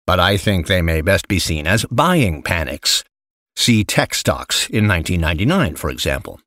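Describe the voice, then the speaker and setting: A man reading an essay aloud as scripted narration, measured and articulate, with emphatic phrasing. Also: low-pitched, very animated, fairly bright tone, dry recording, studio quality. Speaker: narrator reading an investment memo.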